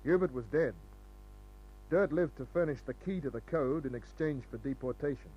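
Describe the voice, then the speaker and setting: An actor playing a wry, cool-headed private detective in an old radio noir, narrating and talking in terse, clipped phrases. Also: male voice, medium pitch, normal speed, husky, lightly acted. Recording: muffled old radio recording.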